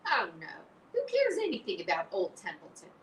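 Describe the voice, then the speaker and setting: A woman's voice: mid-range voice, huge pitch swings, moderate pace, pitched above the performer's own voice. A woman voicing a lazy, self-pitying male rat who grumbles and mocks sarcastically, read as an audiobook. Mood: sarcastic. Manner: sarcastic, mocking, growling. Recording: roomy, distant mic, faint hiss.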